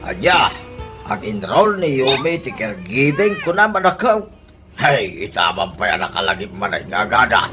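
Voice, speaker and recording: A doubtful Filipino father of grown children played by a man in a radio comedy drama. He questions things in a lively, swinging mid-range voice, with loud music underneath. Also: mid-range voice, moderate pace, close to an everyday voice.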